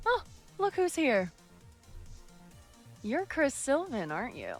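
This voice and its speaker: A coy, self-possessed career woman from an anime-style game. Her pitch is lively and swooping, with light music behind her. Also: takes it slowly, heavily acted. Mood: teasing. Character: poised, coy rival star game producer, adult woman. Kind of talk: anime-style visual novel voice acting.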